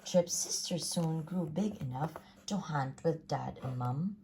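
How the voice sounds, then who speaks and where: A woman reading a rhyming children's story aloud in a theatrical, sing-song, expressive way. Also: low-pitched, measured pace, slight background noise. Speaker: storybook reader.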